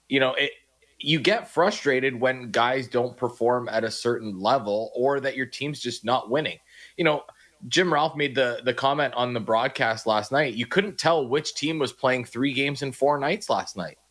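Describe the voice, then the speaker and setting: A man's voice: mid-range voice, unhurried, fairly bright tone. A man co-hosting a sports radio show, talking casually and restarting his sentences often as he argues his points.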